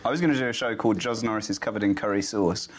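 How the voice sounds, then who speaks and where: A man's voice: on the low side, rapid-fire, husky. A man who is a comedian, chatting casually, teasing his friend and laughing easily. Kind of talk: casual chat.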